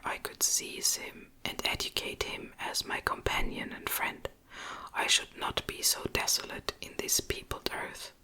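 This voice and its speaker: A narrator whispers a gothic novel in ASMR style. The whisper is very bright and sharp, with no voiced tone at all. The reading is gentle and unhurried, like a bedtime audiobook. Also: low-quality recording.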